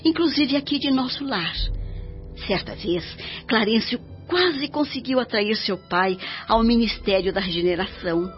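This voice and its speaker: A woman playing a serene, devoted older mother spirit in a radio drama, speaking in a solemn, measured, instructive way. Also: on the high side, animated. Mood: solemn, sorrowful. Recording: dull radio drama track with music.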